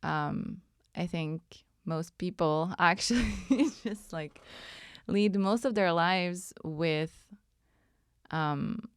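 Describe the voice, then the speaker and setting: A woman in her thirties from Montreal, a native French speaker with a French Canadian accent, hosting a reflective solo podcast in a musing, unhurried way. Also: lowish voice, smooth, no echo, clean studio sound. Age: 30s. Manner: unhurried, musing, with many ums and soft laughs. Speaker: podcast host.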